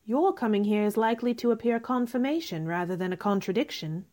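A spirited young lady in a period radio drama, acted by a woman. Her voice is high and lively in pitch, polite on the surface yet pointed and stubborn. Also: brisk, natural voice. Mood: coolly polite. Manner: polite but firm, pointed. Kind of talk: radio theater acting.

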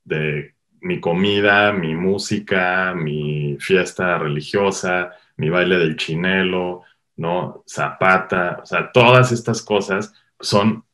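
A middle-aged Mexican man with a Mexican accent, a guest filmmaker talking animatedly in a casual interview, full of quick asides. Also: low voice. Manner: animated storyteller, quick asides, lots of "o sea".

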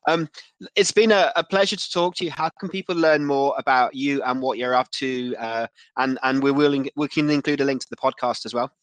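A man hosting a casual interview, halting and repeating words as he forms his thoughts. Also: medium pitch, dry recording. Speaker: podcast host.

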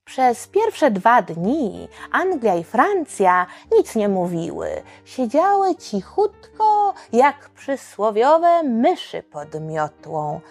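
A woman's voice: on the high side, wildly swooping pitch, very slow, few pauses, faint music behind. A woman tells a scripted story for children in a dramatic, conversational way, posing rhetorical questions. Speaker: podcast narrator and storyteller.

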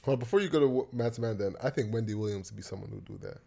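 Man, dark mellow tone, podcast co-host, casual chat, calm and measured. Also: lowish voice, gravelly. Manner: calm, argues in measured steps, many short agreements.

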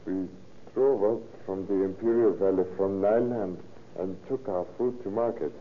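An actor playing a humble, nervous Mexican male suspect with a Mexican accent and halting, deferential speech in old-time radio drama. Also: deep voice, steady tone, lightly acted. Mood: deferential, uneasy. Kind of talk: 1930s-40s radio drama acting. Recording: muffled, noisy old-time radio recording.

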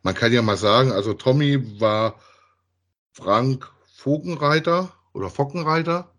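A man, chatting casually as a podcast co-host, thinking aloud haltingly and repeating himself. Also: mid-range voice, animated, fairly bright tone. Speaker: podcast co-host reviewing audio dramas. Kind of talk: casual chat, reviewing audio plays.

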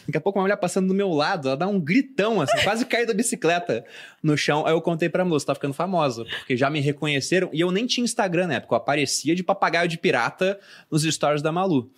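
Fast-talking Brazilian man, chatty. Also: fairly high pitch. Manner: fast, explanatory, animated when telling stories. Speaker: podcast co-host. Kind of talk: casual chat.

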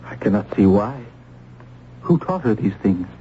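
A man's voice: lowish voice, big pitch swings, measured pace. An actor playing a compassionate, earnest young clergyman of colonial times, formal and theatrical in a radio drama. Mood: gentle, earnest. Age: young adult. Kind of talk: old-time radio acting. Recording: dull, hissy old radio recording.

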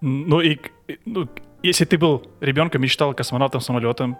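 A man's voice: mid-range voice, brisk, faint music behind. A man hosting a casual podcast interview, asking questions and teasing his co-host.

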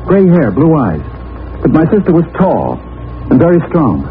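A man's voice: on the high side, wildly swooping pitch, moderate pace, a little hiss or hum. An actor playing an earnest, determined young man, polite but urgent and insistent, in a 1940s radio drama. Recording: tinny old radio recording with organ music.

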